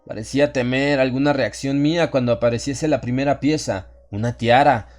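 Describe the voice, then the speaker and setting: A man reading a first-person horror story as a scripted audiobook, with measured, solemn and dramatic delivery. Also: medium pitch, a little mellow. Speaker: audiobook narrator.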